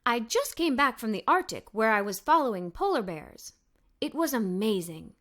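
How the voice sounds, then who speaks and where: Woman putting on a measured, inquisitive storybook uncle, pitched down. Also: big pitch swings, a bit bright. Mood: impressed. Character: adventurous, inquisitive uncle, a journalist, in a children's story.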